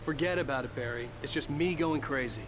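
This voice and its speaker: A man's voice: mid-range voice, a little fast, lightly acted. A man playing a weary but insistent hero in a horror game. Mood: tense. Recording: noise behind.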